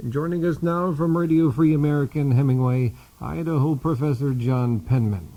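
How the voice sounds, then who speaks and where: An actor playing a self-important, earnest network news anchor in a satirical radio play, with formal, insistent questioning. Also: male voice, a little high, normal speed, dark tone, close to an everyday voice, low-quality recording. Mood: earnest, probing. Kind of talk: satirical radio theater, mock news interview.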